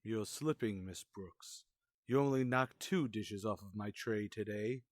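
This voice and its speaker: A man's voice: fairly low pitch, a little slow, no echo, natural voice. An actor playing a grumpy, sarcastic older male school principal in a radio comedy, speaking in a dry, withering, pompous way. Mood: dry sarcasm. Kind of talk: old-time radio comedy acting.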